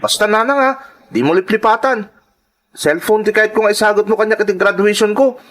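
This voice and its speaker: Man acting a high-voiced, insistent teenage boy, Ilocano accent. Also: a little fast, fairly bright tone. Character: spoiled, demanding teenage high school boy pestering for gifts. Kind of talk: Ilocano radio drama acting.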